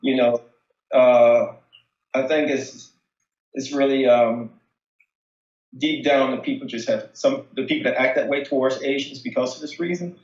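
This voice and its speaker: A man's voice: mid-range voice, fairly even intonation, darkish tone. Echoey, muffled American man on a call. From United States. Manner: halting, searching for words. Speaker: interviewee, local resident. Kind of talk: casual phone interview. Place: remote phone call. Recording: dull, echoey phone call.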